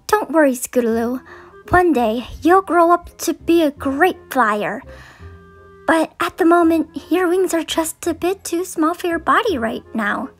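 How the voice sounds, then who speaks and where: A woman's voice: very high-pitched, lively intonation, a little slow. A woman voicing a studious, earnest young pony princess in a cartoon dub, articulate, with music behind. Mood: reassuring. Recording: music under the voice.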